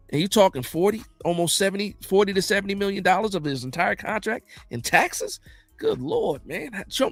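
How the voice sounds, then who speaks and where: An American man hosting a casual football livestream, reading out viewer comments and reacting in slangy, animated, informal talk. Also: fairly high pitch, dry recording. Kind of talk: casual fan chat about football.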